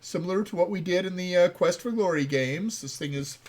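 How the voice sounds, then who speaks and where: Man, fairly high voice, casual livestream chat, playful, punning game developer. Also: a little fast, a little mellow.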